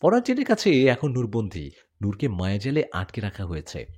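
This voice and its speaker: A man's voice: medium pitch, huge pitch swings, brisk, darkish tone, breathy edge. A man voicing a loyal male jinn friend who gives urgent advice in a spoken story.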